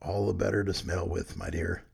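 A man voicing a gruff, wicked wolf in a storybook reading, low and sly. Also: flat delivery, moderate pace, a little mellow, exaggerated voice.

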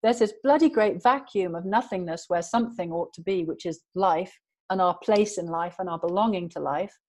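A woman's voice: on the low side, normal speed, a little mellow. Earnest woman speaking in long flowing runs. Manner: earnest, flowing, emphatic with long run-on sentences. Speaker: guest. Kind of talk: passionate conversational interview.

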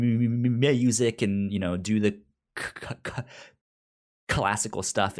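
A man co-hosting a podcast in casual chat, stuttering heavily on first sounds of words. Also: lowish voice. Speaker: podcast co-host.

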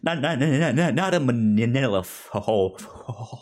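A man chatting casually as a podcast co-host, with a frequent stutter that repeats sounds and words and a halting, rambling delivery. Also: medium pitch, animated, a little mellow.

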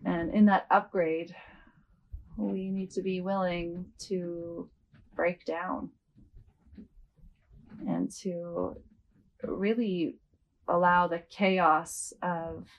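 A woman leading a guided yoga nidra meditation as a host, speaking gently and without hurry, with long pauses. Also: on the low side, level tone, highs cut off, a little hiss or hum.

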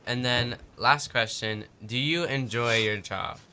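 A man's voice: medium pitch, unhurried, crowd noise. A man hosting a radio interview, asking short questions and giving brief prompts.

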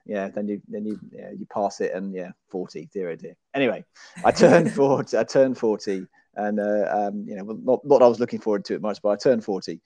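A man's voice: on the low side, fairly quick, mellow, dry recording. A man, a podcast guest who talks in a chatty, self-deprecating way and often restarts his sentences. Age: 40s. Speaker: guest, author and runner. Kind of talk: casual podcast conversation.

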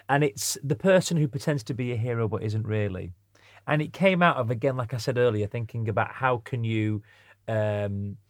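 British man, dry close sound, mid-pitched. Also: dry recording. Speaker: interview guest, actor and writer. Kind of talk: casual, thoughtful interview chat.

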